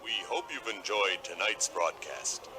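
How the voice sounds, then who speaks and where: An actor playing a sinister announcer delivering a scripted radio horror show narration. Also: male voice, low-pitched, lively intonation, measured pace, poor audio. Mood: ominous. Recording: loud music under the voice.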